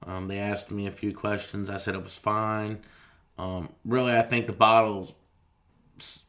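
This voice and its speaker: Low, flat-toned man telling his story. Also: measured pace. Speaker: firefighter being interviewed. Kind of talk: recounting a personal experience in an interview.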